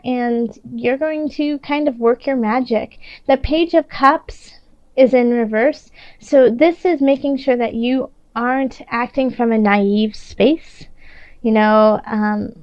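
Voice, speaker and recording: A woman's voice: high-pitched, measured pace, clear, resonant tone, no echo, lo-fi sound. A woman giving a casual, improvised tarot reading in a conversational, reassuring way, often restarting her thoughts. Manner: reassuring, encouraging. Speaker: tarot reader.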